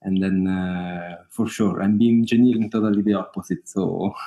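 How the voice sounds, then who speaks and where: A man from Italy with a clear Italian accent speaks on a video call in a low, mellow voice. His talk is halting, thick with fillers, and broken by small laughs. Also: steady tone. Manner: hesitant, many fillers, laughs often. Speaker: guest singer-songwriter. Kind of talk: casual interview over video call.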